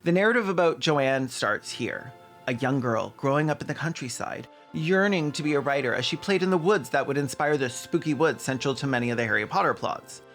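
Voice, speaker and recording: A man's voice: medium pitch, expressive, brisk, a bit bright, faint music behind. Sardonic Canadian man giving comedic commentary. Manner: sardonic, theatrical. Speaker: video essay host.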